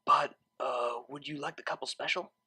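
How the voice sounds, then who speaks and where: A woman's voice: very deep voice, fairly even intonation, normal speed, sharp tone, voice pushed down, heavily acted. A woman voicing a sly, knowing male stallion waiter who speaks politely and insinuatingly. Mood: apologetic, discreet. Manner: smooth-talking, knowing, discreet.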